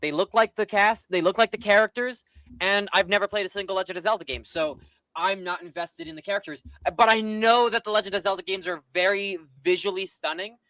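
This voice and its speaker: A man co-hosting a casual live discussion, giving blunt opinions in a halting, exasperated way. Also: high-pitched, bright, forceful tone, dry recording, low-quality recording. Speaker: podcast co-host.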